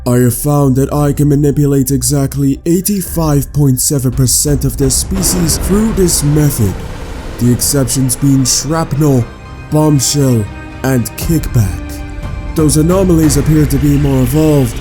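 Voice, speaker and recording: A cold, clinical robot scientist, acted out by a man, dictates log entries in a mid-pitched male voice over music. The delivery is formal and exact, with a condescending villain's air, in the style of a sci-fi audio drama. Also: fairly even intonation, a little slow, natural voice. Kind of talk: scripted audio log monologue, fan-made sci-fi drama.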